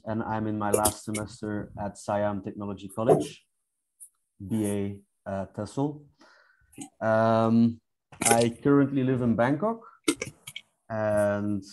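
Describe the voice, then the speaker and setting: A man in his late twenties from Belgium, answering questions in a measured, thoughtful way on a video call. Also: lowish voice, darkish tone, a little hiss or hum, low-quality recording. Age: 20s. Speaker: exchange student. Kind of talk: casual video call chat.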